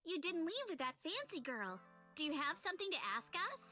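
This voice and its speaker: A woman's voice: extremely high pitch, sing-song, fairly quick, bright, forceful tone, faint music behind, full character voice. An actress playing a brash, teasing and confident schoolgirl schemer in an anime-style video game. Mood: upbeat, confident.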